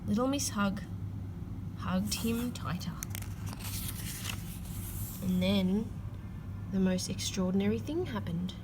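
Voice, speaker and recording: A woman reading a children's picture book aloud, with expressive emphasis and drawn-out key words. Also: medium pitch, noisy background. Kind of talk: read-aloud storytelling for children.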